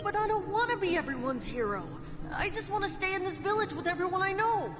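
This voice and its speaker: An innocent little village boy speaks high and very expressively. He is an earnest young game hero, heard in long reverb over music. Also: animated, fast talker. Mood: earnest, reluctant. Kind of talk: video game voice acting.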